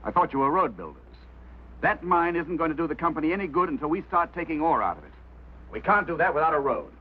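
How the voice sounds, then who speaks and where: An actor playing a brusque, impatient, skeptical mining company boss, commanding and dismissive, in mid-century film drama style. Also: male voice, fairly high pitch, big pitch swings, fast, phone-quality sound. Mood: demanding. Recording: thin, noisy old film soundtrack.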